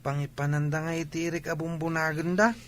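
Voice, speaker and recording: A man's voice: on the high side, flat delivery, brisk, a bit bright, lightly acted. A man with a Filipino accent playing a cheeky, inquisitive village boy in a comedy radio drama. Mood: inquisitive, teasing.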